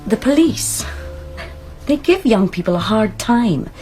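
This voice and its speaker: An actress playing a casual, defensive young university student in a British radio drama, with a British accent and an offhand, colloquial delivery. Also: female voice, on the high side, sing-song, a little slow, dark tone, soft background music. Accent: British English.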